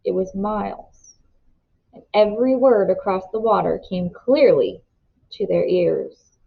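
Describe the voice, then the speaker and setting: A woman narrating a novel aloud in an expressive storybook reading style. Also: mid-range voice, measured pace, creaky, phone-quality sound.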